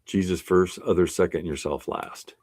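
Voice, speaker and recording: A man's voice: low-pitched, steady tone, normal speed, darkish tone. A man in his sixties speaking as an art resilience instructor, giving a casual, earnest and encouraging talk to his class in a plainspoken way.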